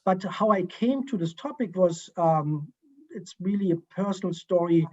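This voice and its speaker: German-accented man, halting on a muffled call. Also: high-pitched, mellow. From East Germany. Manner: halting, many ums and uhs, restarts. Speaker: guest historian. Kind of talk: Q&A answers over a video call. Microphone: video call. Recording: muffled video call audio.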